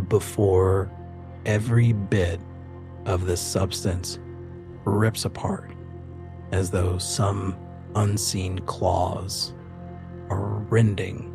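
Low-voiced man narrating slowly over music. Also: vocal fry. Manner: slow, suspenseful, deliberate phrasing. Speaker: game master. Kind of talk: dramatic narration of a tabletop horror game. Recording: music bed under the voice.

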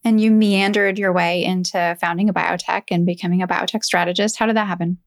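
Lower-pitched woman hosting a podcast. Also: steady tone, a little fast. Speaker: podcast co-host. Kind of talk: friendly podcast interviewing.

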